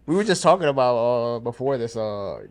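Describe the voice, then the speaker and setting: A young man hosting a casual podcast chat, his voice swinging up and down with lively pitch. He talks loose and slangy, breaking into laughs often. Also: fairly quick. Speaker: podcast host.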